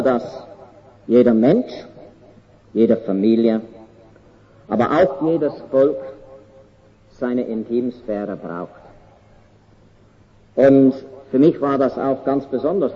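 An older man from Canada with an English accent, his first language English, preaching a sermon in an earnest, slow and deliberate way with halting pauses. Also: mid-range voice, lo-fi sound.

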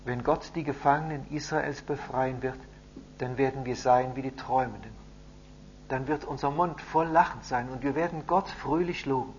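A man voicing a sad, resigned old grandfather in exile, speaking in a subdued, measured way. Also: medium pitch, close to an everyday voice. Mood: quiet hope. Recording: muffled audio with hum and noise.

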